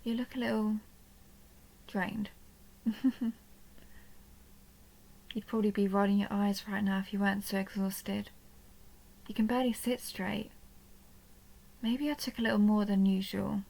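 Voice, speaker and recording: Woman playing a creaky, breathy, tender vampire countess. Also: mid-range voice, steady tone, unhurried, long pauses, poor audio. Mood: teasing, doting. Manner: soft, breathy, often near a whisper, coaxing. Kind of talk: intimate roleplay audio drama, addressed to the listener.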